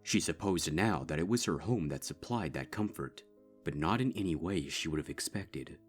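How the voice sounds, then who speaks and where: Very deep-voiced male narrator. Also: lively intonation, faint music behind. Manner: measured, grave storytelling. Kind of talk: dark fanfic audiobook narration.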